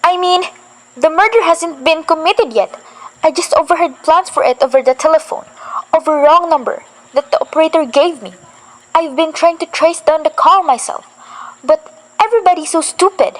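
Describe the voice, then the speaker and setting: A woman's voice: extremely high pitch, low-quality recording. A girl playing a fretful, demanding invalid wife. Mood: agitated. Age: young. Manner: fretful, impatient, talks fast when agitated. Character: anxious, demanding invalid housewife, adult woman. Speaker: student actor in a school radio play. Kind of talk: school radio play acting.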